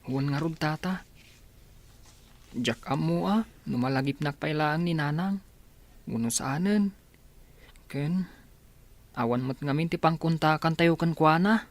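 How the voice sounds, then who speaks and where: A woman's voice: low voice, unhurried, long pauses. A woman playing a polite, earnest young village schoolboy in an Ilocano radio drama, chatty and respectful. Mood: subdued. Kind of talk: Filipino radio comedy-drama acting.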